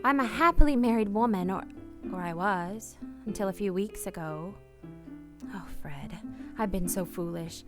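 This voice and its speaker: A woman's voice: mid-range voice, over music, close to an everyday voice. An actress playing an earnest, guilt-ridden married woman in a radio play, speaking in a refined, hesitant way. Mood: anguished, confessional. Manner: hesitant, earnest, refined, with halting repeated words. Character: married woman, anxious, guilt-ridden, tenderly confessing a secret love. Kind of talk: radio play acting with confessional narration.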